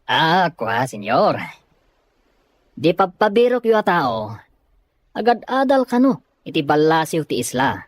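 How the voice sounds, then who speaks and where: An actor playing a meek, slow-witted manservant in an audio drama, hesitant, stammering and bewildered. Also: male voice, fairly high pitch, sing-song, no room sound. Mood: confused, timid.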